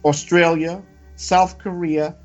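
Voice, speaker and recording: A man reading a scripted news report with preacherly commentary, in a deliberate, emphatic and solemn way. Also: on the high side, level tone. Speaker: religious report reader and commentator. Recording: dull lo-fi audio with music. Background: background music.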